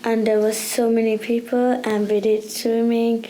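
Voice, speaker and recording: A woman's voice: a little high, little pitch movement, unhurried, dark tone, a little hiss or hum. A young woman from India sharing her experience casually in simple, short phrases. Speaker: youth programme participant. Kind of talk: casual personal testimony.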